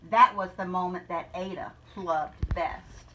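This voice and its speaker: A woman reading a rhyming children's picture book aloud in a deliberate, expressive storytelling manner, like a teacher. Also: lowish voice, recorded from a distance, noisy background.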